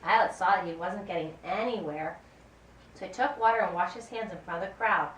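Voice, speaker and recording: A woman reading a scripted Bible story as narrator of a puppet show, in a deliberate, expressive way. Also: on the low side. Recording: roomy, distant mic, faint background noise.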